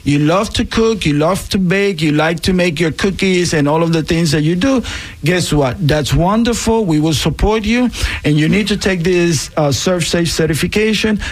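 A woman's voice: fairly low pitch, moderate pace. A woman with a Puerto Rican accent, a guest on a radio panel, explains a training program earnestly, with many fillers along the way.

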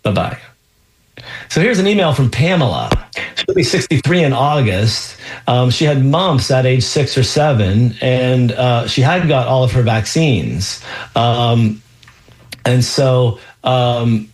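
Man hosting radio health advice, halting. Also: medium pitch, rough recording. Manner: explanatory, frequent ums and restarts. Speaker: radio health show host and physician.

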